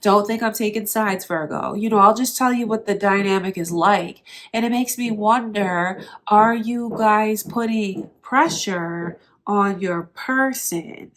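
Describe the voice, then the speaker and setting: A woman's voice: mid-range voice, a little slow. Nasal-sounding woman reading tarot. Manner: speaks directly to the viewer, earnest and musing. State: nasal from allergies. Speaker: tarot reader. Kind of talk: tarot card reading for viewers.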